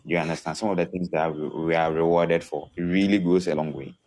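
A man's voice: low voice, steady tone, brisk, a little mellow. A man calling in to a live talk show, explaining his points one by one and often checking that listeners follow. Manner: methodical, numbers his points and checks understanding. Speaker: caller giving input. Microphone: remote call-in line.

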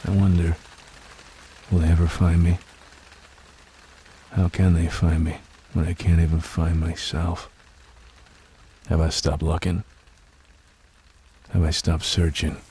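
A man playing a world-weary, drunken, nihilistic film noir detective in a brooding, deadpan radio monologue. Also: low voice, breathy edge, exaggerated voice. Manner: slow, brooding, deadpan narration. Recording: noisy, rain sound behind. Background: rain.

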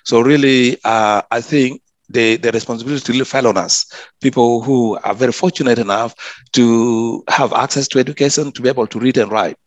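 A man's voice: mid-range voice, fairly even intonation, fairly quick, no echo. A man, a public health officer answering interview questions earnestly, often restarting and repeating words. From South Sudan.

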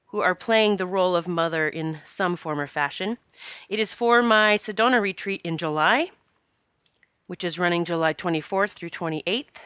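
A woman hosts her podcast in a chatty, encouraging way, her voice a little stopped up from allergies. The tone is bright and smooth. The sound is dry and close, with no room behind her. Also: lowish voice, no echo. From Northeast Pennsylvania. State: congested from allergies. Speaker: podcast host. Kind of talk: casual podcast talk.

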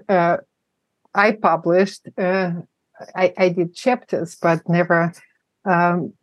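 Russian-accented woman, slowish lowish voice, keeps pausing w uh and um, dry close sound. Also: darkish tone, dry recording. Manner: halting, many pauses and fillers. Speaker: family therapist, guest. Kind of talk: unscripted interview answers.

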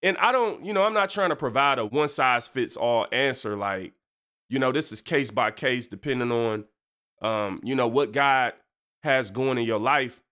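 A young man talks through a stuffy, congested nose at a middle pitch. He keeps his faith podcast loose and conversational, with slang, asides and plenty of "you know what I'm saying". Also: moderate pace. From Michigan. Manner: relaxed slangy talk with many fillers. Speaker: podcast host and preacher. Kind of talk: casual devotional podcast talk.

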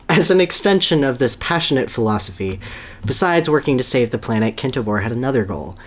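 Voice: mid-range voice, animated, fairly quick. A podcast host gives a dramatic reading of a story, with casual asides and an amused manner. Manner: amused, breaks into laughter while reading.

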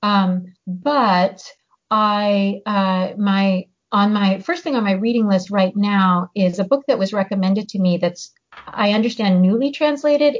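Woman talking books, stops to find her words, kinda dull recording. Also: mid-range voice. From born in Hungary, raised in the US. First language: Hungarian. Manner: reflective, pauses to search for words. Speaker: guest, novelist and teacher. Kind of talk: casual interview chat. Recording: slightly muffled audio.

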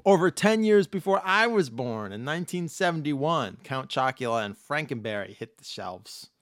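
A man's voice: a little high, expressive, normal speed, a bit bright, dry recording, studio quality. A laid-back man hosting a podcast, chatting casually and laughing as he rambles. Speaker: podcast host. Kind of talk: casual chat.

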